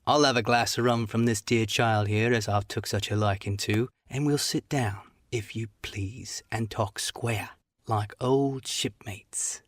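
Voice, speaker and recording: A man's voice: fairly low pitch, fairly even intonation, a little slow, no echo, studio quality. A man doing a sly fawning old pirate. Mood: ingratiating. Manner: wheedling, half fawning, half sneering. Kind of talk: audiobook character voice.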